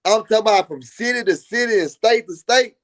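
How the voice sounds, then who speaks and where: An earnest man from Dallas with a drawl, speaking fairly high for a man. He preaches his point in a casual live chat and hammers it home by repeating lines. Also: brisk, a bit bright, a little hiss or hum. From Dallas, Texas.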